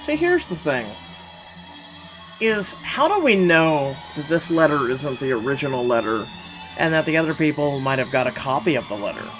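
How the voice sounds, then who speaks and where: A man's voice: on the high side, big pitch swings, moderate pace. A man playing through a visual novel, reading its narration aloud expressively and dropping casual, sweary remarks. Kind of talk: gaming playthrough, dramatic read-aloud narration. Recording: music playing behind the voice. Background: game music.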